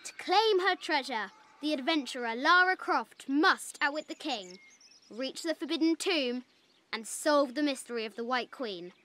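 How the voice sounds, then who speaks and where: A woman's voice: high voice, lively intonation, normal speed, sharp tone. An actress playing an eager, imaginative little girl in a video game, who talks with playful, dramatic flourish. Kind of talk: storytelling make-believe in a game cutscene.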